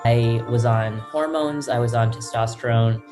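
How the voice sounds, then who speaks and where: A young man with a mid-pitched voice tells his own story in an interview. He speaks haltingly and often stops to find his words. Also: fairly even intonation, over loud music. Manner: halting, hesitant. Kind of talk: personal testimony.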